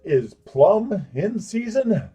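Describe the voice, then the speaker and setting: A man acts out a bumbling, self-important drunk in a comic fantasy audio play. His voice is fairly high and swoops widely, at an unhurried pace. He speaks in florid, mock-archaic flourishes over music. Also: very animated, mellow, muffled recording, exaggerated voice. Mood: fussily determined. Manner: theatrical, florid, wordy. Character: pompous, bumbling male tavern drunk in fantasy comedy.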